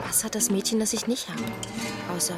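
A woman's voice: mid-range voice, steady tone, fairly quick, natural voice. An actress playing a crook's jealous, bitter young girlfriend in a dubbed 1950s thriller, reproachful and insistent. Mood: wounded jealousy. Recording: film soundtrack with clear music.

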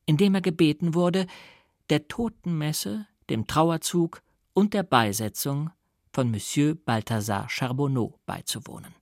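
A man reading literary fiction as an audiobook narrator, in a measured, carefully articulated storytelling manner. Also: a little high, no room sound, clean studio sound. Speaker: audiobook reader.

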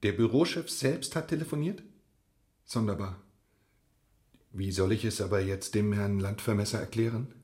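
A man playing an embarrassed young village official in a radio drama, hushed, uneasy and deferential. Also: fairly low pitch, moderate pace, pauses often, lightly acted. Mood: embarrassed, puzzled. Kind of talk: German literary radio drama acting.

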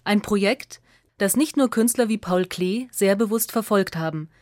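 A woman from narrates a scripted radio essay in a measured, clearly articulated way. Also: medium pitch, darkish tone, no room sound, studio quality.

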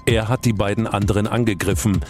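Male narrator, low register, dramatic scripted crime storytelling over a prominent music bed. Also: fairly even intonation, fairly quick, darkish tone.

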